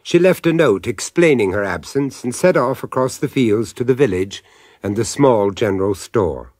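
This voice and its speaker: A man narrating an eerie radio drama as a suave, wry storyteller, measured and deliberate. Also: mid-range voice, lively intonation, darkish tone, dry recording, clean studio sound, lightly acted. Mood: suspenseful narration.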